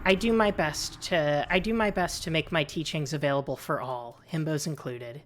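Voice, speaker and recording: Low androgynous woman streamer. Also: moderate pace, slight background noise. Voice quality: slightly raspy. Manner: soothing, chatty, thanks viewers often. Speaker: livestreamer and lore host. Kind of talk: casual livestream chat while gaming.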